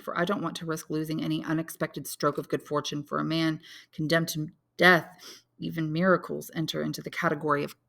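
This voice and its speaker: A woman's voice: sits low, creaky, fairly bright tone, exaggerated voice. A woman voicing an intense, honorable, lovelorn young man in a dramatic audiobook, speaking earnestly and solemnly. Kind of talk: dramatic audiobook character reading.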